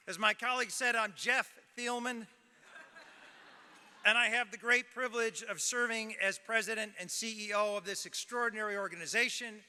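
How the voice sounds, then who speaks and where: A man's voice: high voice, sharp tone, smooth. A man, the president of a nonprofit, giving a formal welcome speech at a gala with light joking. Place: large ballroom. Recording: hall reverb, faint crowd.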